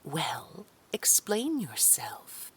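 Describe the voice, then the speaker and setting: A woman playing a haughty, menacing boss in an audio drama, sugary and condescending, often slipping into a breathy near-whisper. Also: low voice, wildly swooping pitch, drawn-out words, exaggerated voice. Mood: cold, threatening.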